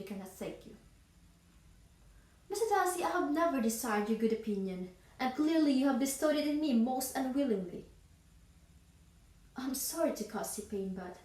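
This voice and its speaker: A woman playing a proud, indignant young gentlewoman in a theatrical period monologue, speaking in a formal, emphatic, accusing way. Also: on the high side, natural voice. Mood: cold indignation, then stiff regret. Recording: roomy echo, distant mic.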